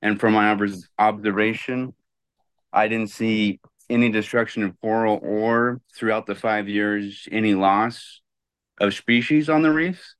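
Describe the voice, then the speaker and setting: Clear-voiced man giving testimony. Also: fairly low pitch, fairly even intonation, measured pace, no echo. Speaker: public commenter. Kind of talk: prepared public testimony.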